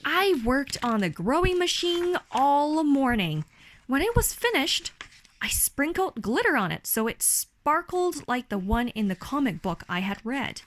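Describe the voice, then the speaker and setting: A woman with a Chinese accent reads a children's picture book aloud expressively, as a parent would to a young child. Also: sits high. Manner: slow, animated storytelling for a young child. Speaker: parent reading a bedtime story to a child.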